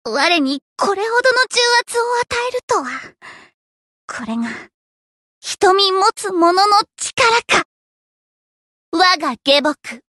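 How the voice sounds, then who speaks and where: Casting: very high-voiced teen gothic idol girl, anime game, grandiose yet shy, unhurried delivery. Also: big pitch swings, pushed and bright, no room sound, studio quality, full character voice. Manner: grandiose, dramatic archaic declarations, slipping into shy sweetness. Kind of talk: anime game character voice lines.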